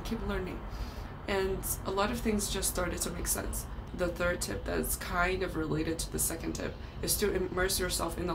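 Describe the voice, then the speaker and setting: Young female vlogger, Chinese accent, lower register, earnest explainer tone, outdoor hiss behind her. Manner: earnest, explanatory, occasional ums and restarts. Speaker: language vlogger. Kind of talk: casual talking-head vlog. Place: outdoors by a brick wall.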